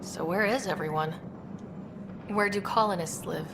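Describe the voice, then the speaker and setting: A woman's voice: fairly low pitch, normal speed, close to an everyday voice. A woman playing a young, wry and direct space explorer with a casual, dry manner in a video game. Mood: wry, wary. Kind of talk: video game cutscene dialogue. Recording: clear background noise.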